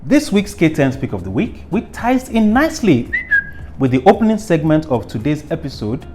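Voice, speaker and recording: Lively Nigerian man giving opinionated commentary. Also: on the high side, moderate pace, soft background music. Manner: emphatic, theatrical, rhetorical questioning. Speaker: host of a current affairs commentary show. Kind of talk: opinionated news commentary to viewers.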